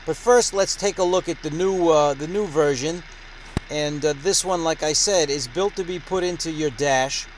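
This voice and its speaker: A man with a slightly high voice hosts a gear review like a radio show. He talks in a conversational presenter's style, with noticeable noise behind him. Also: normal speed, rough recording.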